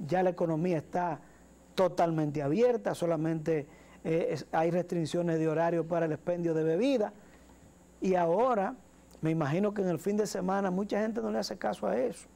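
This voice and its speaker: Dominican guy on a tv panel, high voice with lots of gravel, earnest, keeps repeating himself. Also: normal speed, dark tone. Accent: Dominican Spanish. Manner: earnest, gestures while speaking, repeats words. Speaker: talk show co-host. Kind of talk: political commentary. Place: TV studio. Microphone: lapel mic.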